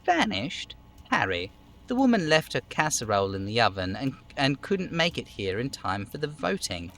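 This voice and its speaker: A man voicing an overweight union boss in a video game, falsely chummy, smooth and theatrically indignant. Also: medium pitch, big pitch swings, moderate pace, fairly bright tone, voice pushed up. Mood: mocking. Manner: smooth, theatrical, over-familiar.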